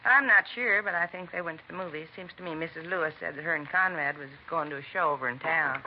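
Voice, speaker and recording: A woman's voice: deep voice, fast, lightly acted. A woman playing a chatty, obliging American housewife in a 1950s radio drama, with a folksy, rambling, hesitant manner. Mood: chatty, helpful. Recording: dull, faintly noisy old radio recording.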